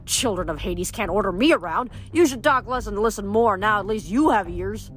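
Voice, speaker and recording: A woman voicing a cheerful, boastful male farming god who chats in a bouncy, lively way. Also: medium pitch, normal speed, faint music behind, close to an everyday voice. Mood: bossy.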